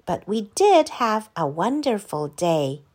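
A woman reading a storybook, voicing an eager young boy in a high, earnest way. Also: big pitch swings, takes it slowly, a little mellow.

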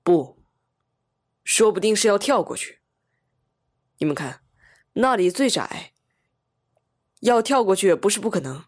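An actress playing an eager, analytical young legal assistant in an audio mystery drama, earnest and reasoning. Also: female voice, mid-range voice, sing-song, a little slow, long pauses. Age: young adult. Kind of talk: radio drama acting. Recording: music behind the voice.

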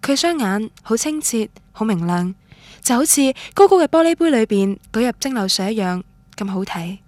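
A woman's voice: fairly high pitch, sing-song, normal speed, darkish tone, no room sound. An actress with a Cantonese accent playing a romantic, stubborn young woman who tells her love story in a radio drama. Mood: tender, longing. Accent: Cantonese, Guangdong. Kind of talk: radio drama narration and dialogue, introspective first-person.

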